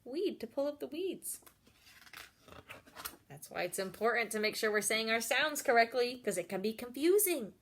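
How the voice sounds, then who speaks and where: A woman's voice: a little high, very animated, normal speed, fairly bright tone. A woman reading a picture book aloud expressively, with chatty asides addressed straight to young listeners. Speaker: storyteller reading to children.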